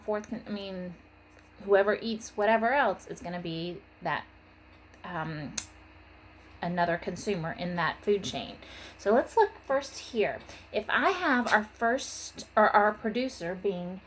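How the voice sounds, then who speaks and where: A woman's voice: medium pitch, a little hiss or hum, low-quality recording. A woman teacher giving an informal lesson and story for young children, stressing key terms in an instructional way. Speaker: third grade teacher.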